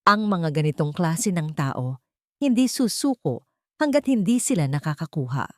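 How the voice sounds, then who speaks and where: A woman narrates a scripted drama as a storyteller. Her voice sits on the low side, recorded close and dry. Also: sing-song, moderate pace, dry recording.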